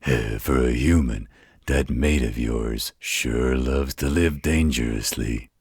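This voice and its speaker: A man playing a blunt, loyal, wisecracking supernatural pack mate in a roleplay audio drama, with a raspy, gravelly voice and a laid-back manner. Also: very deep voice, slow pace, a little mellow, dry recording, studio quality, exaggerated voice. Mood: teasing.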